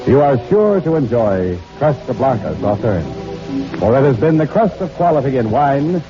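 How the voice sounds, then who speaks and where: A man with a medium-pitched voice announces in formal 1940s radio style. His scripted delivery is polished. Music plays behind him on a muffled vintage recording. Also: big pitch swings, moderate pace.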